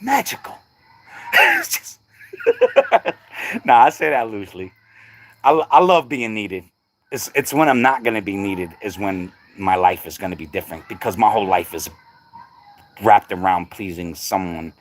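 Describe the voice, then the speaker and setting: A man's voice: on the low side, sing-song, a little slow, long pauses. A middle-aged man chatting casually on a livestream, laid-back and slangy, laughing often. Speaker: livestream host.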